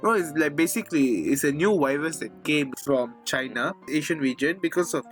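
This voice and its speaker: A man's voice: fairly high pitch, moderate pace, faint music behind. A young man with a Malaysian English accent, co-hosting a casual podcast and rambling with lots of "I mean, like". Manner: rambling, heavy use of "I mean, like". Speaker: podcast co-host. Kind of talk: casual chat.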